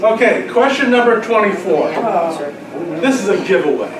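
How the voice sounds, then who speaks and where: A man's voice: high-pitched, very animated, normal speed, noisy background. A man acting as quiz master, reading out questions live at a club luncheon. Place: indoor luncheon room with an audience. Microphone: distant microphone in the room. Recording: echoey room, distant mic, loud crowd. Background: loud crowd chatter.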